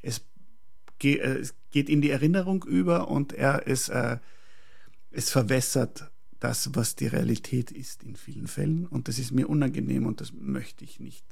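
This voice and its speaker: This is a man with a very creaky, husky voice. He sits at an ordinary male pitch and talks reflectively as an interview guest. He chooses his words deliberately, often pausing to search for the next one. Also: a little mellow. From Prague, Czechoslovakia, later schooled in Austria.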